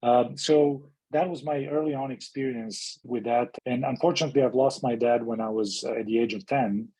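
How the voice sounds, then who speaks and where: A man's voice: medium pitch, fairly even intonation, normal speed, dark tone, breathy edge, low-quality recording. A middle-aged man from Serbia, a physician and health activist, speaking as an interview guest in a reflective, storytelling way with frequent restarts.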